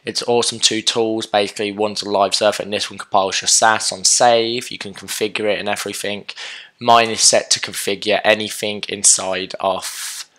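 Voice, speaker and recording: Sick young man, low hoarse voice. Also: level tone, normal speed, fairly bright tone. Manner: casual and chatty, with many fillers and self-corrections. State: sick, sore throat, losing his voice. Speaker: coding tutorial host. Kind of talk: casual screen-recorded coding tutorial.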